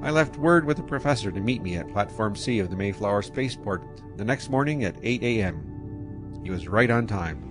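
An actor playing a practical, profit-minded spaceship captain, talking in a casual, matter-of-fact way. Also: male voice, lowish voice, lively intonation, moderate pace, natural voice. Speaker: spaceship pilot and narrator of his own story. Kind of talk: radio drama acting. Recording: dialogue over music.

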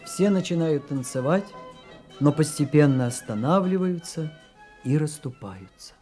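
A man's voice: a little high, lively intonation, measured pace, dark tone, faint music behind. A man reading scripted narration as the narrator of a radio play.

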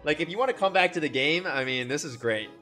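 A man's voice: fairly high pitch, animated, quick pace, bright, forceful tone. A young man gushing casually and slangily as he reacts to a game and chats with viewers. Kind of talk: casual livestream reaction commentary. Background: game music.

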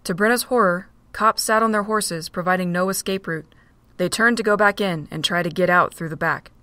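A woman narrating a fan audio drama in a steady reading voice. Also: on the low side, normal speed, dry recording. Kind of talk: storybook narration.

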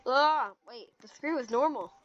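An excitable gamer improvising a casual, dramatic video game roleplay. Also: high-pitched, a little slow. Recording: dull lo-fi mic with clear background noise.

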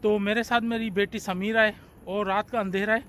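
A man in his forties vlogging to his phone camera on an outdoor train platform at night, in a chatty, relaxed way. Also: high voice, moderate pace. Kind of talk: casual vlog narration. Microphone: phone at arm's length. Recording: faint outdoor background noise.

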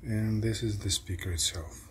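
A man casually narrating his hands-on repair work as a hobbyist, in a halting, matter-of-fact way. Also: fairly low pitch, steady tone, heavy background noise. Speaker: hobbyist radio restorer. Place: indoor home electronics workbench.

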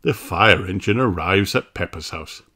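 A man reading a children's storybook aloud in an expressive style. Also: deep voice, normal speed, soft background music. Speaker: storybook reader.

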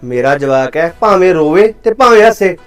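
A man's voice: a little high, lively intonation, faint music behind, lightly acted. A man playing a domineering husband who scolds his wife in a family drama. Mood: scolding. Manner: scolding, ordering, fast.

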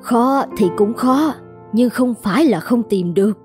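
An actress playing a kindly, brisk older woman who runs a noodle shop in a cartoon, plain-spoken and reassuring. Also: a little high, darkish tone, close to an everyday voice. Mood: helpful. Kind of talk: animated moral-tale voice acting. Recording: music under the voice.

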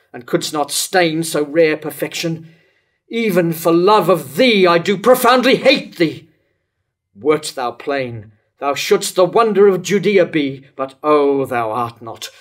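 Tragic stage king played by an older man: wrathful and lovesick, declamatory, very slow delivery, higher-set voice. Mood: anguished, bitter. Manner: declamatory, deliberate, dramatic pauses. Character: jealous, tormented king Herod, wrathful and lovesick. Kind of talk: staged reading of Jacobean verse tragedy. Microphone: microphone on stand at lectern.

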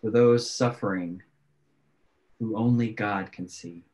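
A man who is an interpreter, voicing prayers in a measured, solemn way over a video call. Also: fairly low pitch, a little mellow. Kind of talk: reading prayers aloud. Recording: dull lo-fi video call audio.

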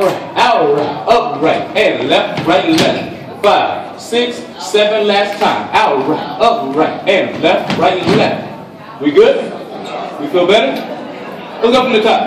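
A middle-aged man teaching a dance class, counting and calling out steps to the group and joking with them. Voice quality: low voice. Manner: counts steps aloud in a steady call, jokes with the class. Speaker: dance instructor. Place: large indoor hall with a crowd of dancers. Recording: echoey hall recorded from far off. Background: crowd noise and room ambience.

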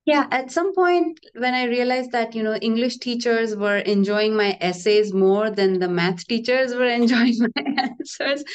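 A woman from Mumbai talking easily about her path to writing on a video-call author panel. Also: on the high side. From Mumbai, India. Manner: easy, storytelling, light laughs. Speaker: debut mystery novelist on a panel. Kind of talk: casual panel conversation. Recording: dull, muffled video-call audio.

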